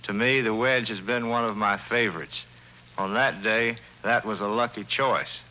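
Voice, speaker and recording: A man's voice: lowish voice, moderate pace, sharp tone, rough recording. A man giving a stiff, scripted testimonial in a 1950s radio advertisement.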